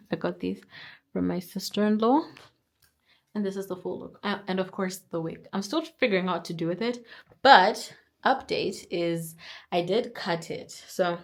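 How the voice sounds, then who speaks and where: Zimbabwean woman in her twenties, vlogging at home; low, strained voice, chatty and rambling. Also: a little mellow. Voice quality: naturally deep alto voice. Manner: chatty, rambling, lots of fillers and restarts. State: tired, strained voice from singing. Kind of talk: casual chat to camera. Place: at home, indoors. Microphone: camera or phone on a stand.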